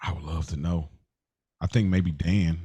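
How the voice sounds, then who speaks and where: Male co-host, very low voice, earnest conversational delivery, dry close recording. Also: steady tone, unhurried, mellow, breathy edge, no echo, lo-fi sound. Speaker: podcast co-host. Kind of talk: casual podcast conversation.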